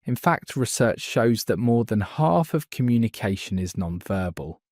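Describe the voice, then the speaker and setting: A man teaching a beginner English lesson, speaking slowly and clearly so learners can follow. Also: lowish voice, lively intonation, a little mellow, no room sound, clean studio sound. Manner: slow, clear, deliberate delivery for learners. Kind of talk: slow scripted lesson narration.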